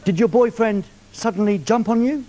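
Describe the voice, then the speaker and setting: Cheeky high-voiced male TV presenter. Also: normal speed, background noise. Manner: cheeky, teasing, playful. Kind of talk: live TV prank phone call. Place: TV studio.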